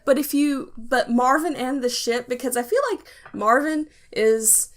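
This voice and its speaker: A woman's voice: high-pitched, measured pace. A woman chatting casually about pop culture, playful and given to slipping into mimicked quotes. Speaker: podcast guest.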